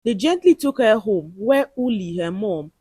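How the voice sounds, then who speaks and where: A woman's voice: mid-range voice, animated, normal speed, warm tone, slightly rough, no echo, clean studio sound. A woman narrating a scripted story in a dramatic, suspenseful and emotive way.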